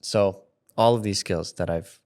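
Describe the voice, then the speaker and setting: A man's voice: low-pitched, measured pace, a little mellow. A man in his thirties talking casually as an interview guest, rambling and restarting his sentences, often laughing. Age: 30s. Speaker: content creator guest. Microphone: studio mic with headphones.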